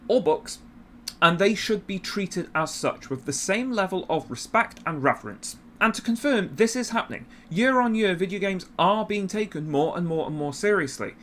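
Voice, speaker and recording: A man's voice: a little high, fairly bright tone, a little hiss or hum. A man giving informal, opinionated commentary in a conversational video-essay style, with emphatic repetition.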